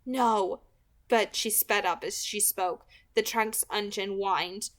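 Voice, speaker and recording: A woman's voice: medium pitch, steady tone, takes it slowly, a bit bright. A woman reading a novel aloud as an amateur audiobook narrator, with expression.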